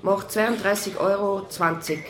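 An actress playing a brisk, matter-of-fact tavern waitress in a radio drama. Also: female voice, medium pitch, steady tone, fairly bright tone, natural voice. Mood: businesslike. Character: businesslike female tavern waitress. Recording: roomy, faint tavern noise.

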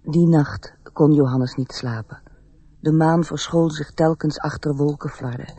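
A woman narrating a radio play fairy tale as a gentle, reflective storyteller with a husky, measured voice. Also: low voice, fairly even intonation, no echo, exaggerated voice. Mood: sombre.

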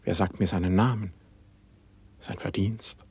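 An actor playing a dreamy young poet in a classical verse radio play, speaking in a lofty, reverent way. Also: male voice, low voice, steady tone, a little slow, a little mellow, slightly rough, heavily acted. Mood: rapt, yearning. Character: dreamy young male poet, rapt and humble.

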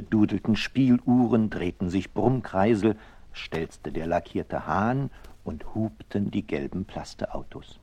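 Slow, low-voiced male storyteller. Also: no room sound. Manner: measured, expressive storytelling. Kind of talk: scripted children's story narration.

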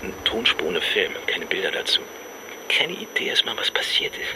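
An actor playing a shaken, bitter policeman recounting his trauma in a halting, breathy monologue for a radio drama. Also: low-pitched, very animated, background noise. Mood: agitated, haunted. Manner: halting, fragmented, often breathy half-whisper.

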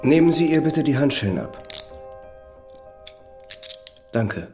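A composed examining magistrate , played by a man, speaks at a medium pitch. He is courteous yet in full command, in the manner of a radio drama. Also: big pitch swings, brisk, mellow, soft background music, natural voice. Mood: composed, authoritative.

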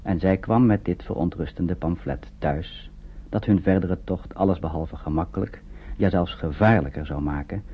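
Very deep radio drama narrator, male. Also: normal speed, breathy edge. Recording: muffled narrow-band old radio recording.

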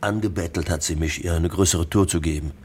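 A man's voice: deep voice, steady tone, fairly quick, darkish tone, a little hiss or hum, natural voice. An actor in a radio play playing a hard-nosed, irate male boss, blunt and coarse in manner. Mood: indignant.

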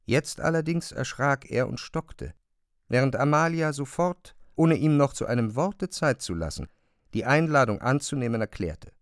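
A male narrator in a literary radio play. His voice sits at a middle pitch and is recorded dry and close, with scripted storytelling phrasing. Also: lively intonation, normal speed, dry recording.

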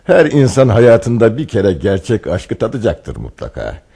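Radio theatre narrator type, wry n knowing, low mellow voice, kinda husky, teasing. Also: male voice, wildly swooping pitch, unhurried, exaggerated voice. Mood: probing, teasing. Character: wry male storyteller who chats knowingly with his hero. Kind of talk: Turkish radio theatre acting, narration and dialogue.

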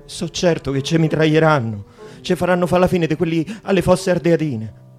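Man acting Roman wartime prisoner, frightened and worn, halting bitter dialect, radio drama, music underneath. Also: a little high, a little mellow, natural voice. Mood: terrified. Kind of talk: radio drama monologue and dialogue.

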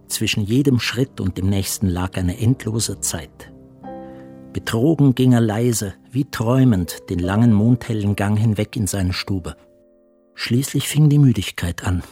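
A man playing the grave storyteller, narrating a literary radio drama in measured phrasing. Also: fairly low pitch. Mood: uneasy. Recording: studio voice with music behind.

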